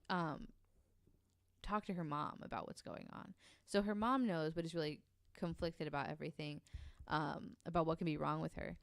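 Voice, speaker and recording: A woman's voice: deep voice, no echo. A woman co-hosting a podcast, telling a long story in a casual way, with many ums. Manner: narrates at length with frequent ums, reads quotes aloud.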